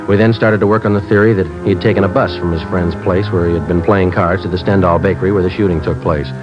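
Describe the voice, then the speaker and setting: An actor playing a terse, businesslike police sergeant, narrating a case in clipped, matter-of-fact 1950s radio drama style. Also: male voice, sits low, fairly even intonation, fast, music in the background, close to an everyday voice. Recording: muffled old radio broadcast recording.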